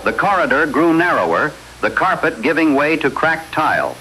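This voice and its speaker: A man narrates a scripted horror tale in a slightly high voice. Loud rumbling, radio-like noise runs behind the narration. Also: animated, moderate pace.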